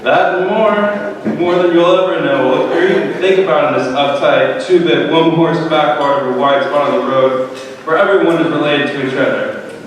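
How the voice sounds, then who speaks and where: A man's voice: a little high, normal speed, natural voice. Man playing a brash rebellious son, very echoey hall. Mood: restless, brash. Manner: brash, sarcastic, dismissive. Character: impatient, insolent, rebellious younger son. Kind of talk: dramatic reading of a parable. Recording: echoey hall, distant mic, faint noise.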